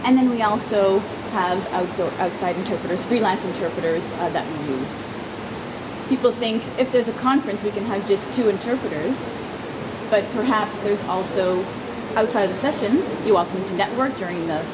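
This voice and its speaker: Woman interpreting over loud background noise. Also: mid-range voice, normal speed. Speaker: sign language interpreter voicing an interviewee. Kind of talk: spoken interpretation in a formal interview.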